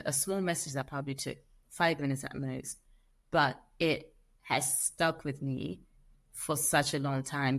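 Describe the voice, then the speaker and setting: Guest: young Zimbabwean-accented woman, low voice, lively storyteller. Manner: animated storyteller, acts out quoted voices. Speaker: guest, lawyer. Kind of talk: conversational interview.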